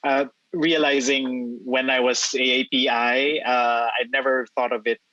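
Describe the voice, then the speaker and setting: A man's voice: medium pitch, level tone, unhurried, pushed and bright, dry recording. A man with a Filipino accent, answering as a panelist on a video call, thoughtful and full of hesitations. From Philippines. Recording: dull, dry video-call audio.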